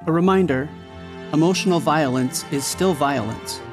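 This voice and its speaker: A man with a slightly high voice delivers a scripted podcast message. He is earnest and supportive, with soft music underneath. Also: normal speed. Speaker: podcast cast member and announcer.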